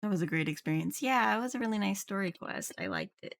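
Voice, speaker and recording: A woman's voice: mid-range voice, sing-song, fast, vocal fry, no echo. A woman game streamer chatting casually on a livestream, musing aloud in loose, half-finished thoughts. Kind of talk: casual livestream chat while gaming. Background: game music.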